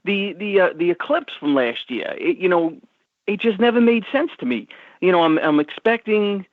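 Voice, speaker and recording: A man's voice: high voice, lively intonation, moderate pace, no echo. A middle-aged man with a Long Island accent, calling in to a show, easygoing and full of fillers. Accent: Long Island New York. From Long Island, New York. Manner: easygoing, many fillers, repeats words. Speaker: caller. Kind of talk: phone call-in chat. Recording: tinny phone line.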